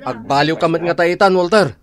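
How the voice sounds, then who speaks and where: A man with an Ilocano Filipino accent playing a gruff, mocking coworker in a radio drama, repeating words as he taunts. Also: on the high side, brisk, natural voice. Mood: demanding, mocking. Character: gruff, mocking male coworker owed money.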